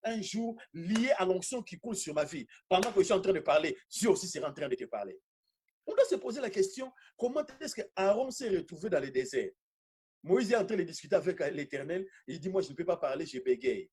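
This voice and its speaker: Male preacher, high husky voice, swooping intonation, emphatic repetition, charismatic sermon delivery. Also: very animated, fairly quick, darkish tone. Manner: emphatic, repeating phrases for effect. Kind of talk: animated charismatic teaching sermon.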